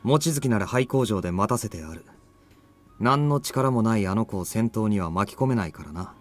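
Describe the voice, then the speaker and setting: A man's voice: on the low side, expressive, quick pace, soft background music, close to an everyday voice. A man playing a cool, protective young fighter in a visual novel, serious, blunt and matter-of-fact. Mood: cool, firm. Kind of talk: Japanese visual novel voice acting.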